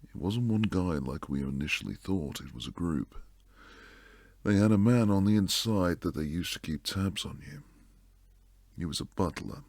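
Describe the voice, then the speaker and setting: A man playing a protective, devoted bodyguard and secret lover in an intimate boyfriend roleplay, low and husky, speaking earnestly close to the mic. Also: lively intonation, moderate pace, a little mellow, no room sound. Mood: urgent, reassuring. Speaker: audio roleplay performer. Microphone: close, dry studio mic.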